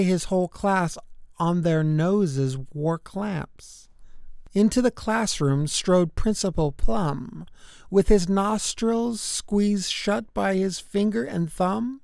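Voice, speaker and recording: A woman's voice: lowish voice, slow, vocal fry, darkish tone, no echo, clean studio sound. A woman narrating a rhyming children's storybook expressively, in a way that is easy to follow. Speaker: children's book reader.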